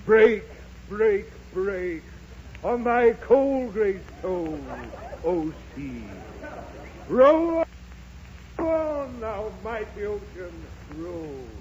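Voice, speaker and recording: A man's voice: high voice, animated, extremely slow, darkish tone, heavily acted. An actor playing an irritable American father in a 1930s radio comedy, grumbling and explaining things with huffy sarcasm. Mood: declaiming grandly. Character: exasperated, grumbling father of a pestering little girl. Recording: dull, noisy 1930s radio recording.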